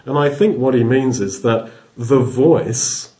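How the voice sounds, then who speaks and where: A man's voice: medium pitch, slow pace, mellow. A man giving expository Bible teaching, thoughtful, often restarting phrases as he explains verses word by word.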